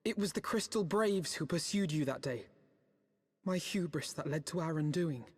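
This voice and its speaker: Male game role: refined young scholar, earnest and formal, higher husky voice, long reverb. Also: expressive, normal speed. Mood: remorseful. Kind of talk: scripted fantasy game acting.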